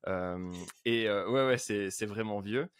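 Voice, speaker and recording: A middle-aged man from the north of France speaks as a podcast guest. His voice is on the low side and closely recorded with little room sound. He chats casually, often repeating words as he finds his phrasing. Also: a little slow, clear, resonant tone, no echo, studio quality. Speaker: podcast guest, worship pastor. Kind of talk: casual interview chat.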